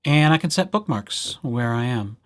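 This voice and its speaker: A man presenting a casual software tutorial as a library host, with playful, wry asides. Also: medium pitch, lively intonation, moderate pace. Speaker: library presenter hosting a digital services tutorial.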